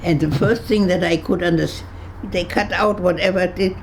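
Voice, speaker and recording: Creaky-voiced woman over one hundred. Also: lowish voice, steady tone, a little hiss or hum. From Berlin, Germany. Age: 100s. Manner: halting, searching for words with frequent restarts; dry humor, laughs easily. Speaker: interviewee. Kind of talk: recalling her past in an interview. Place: her home on a kibbutz.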